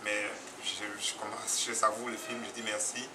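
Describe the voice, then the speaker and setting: A man's voice: lowish voice, little pitch movement, normal speed. A man from Cameroon, giving a spontaneous, earnest public comment as an audience member, hesitant, with many fillers and restarts. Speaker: audience member praising a documentary. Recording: distant mic with crowd noise.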